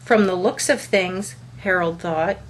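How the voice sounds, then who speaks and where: A woman reading a children's picture book aloud as a storyteller, with deliberate, expressive phrasing. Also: lowish voice, slight background noise.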